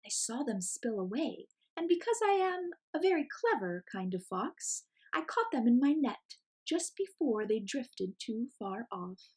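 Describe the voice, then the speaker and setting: A woman's voice: a little high, sing-song, unhurried, darkish tone, slightly rough, voice pushed up, full character voice, poor audio. Woman voicing a clever, courteous storybook fox. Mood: proud, polite.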